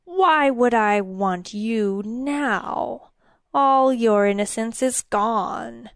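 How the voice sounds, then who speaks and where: A woman voicing a small, sinister, taunting demon in a fairy-tale audiobook, with a crackling, hissing, whispery voice and drawn-out, mock-polite menace. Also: on the high side, creaky, no room sound, studio quality, exaggerated voice. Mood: scornful, menacing.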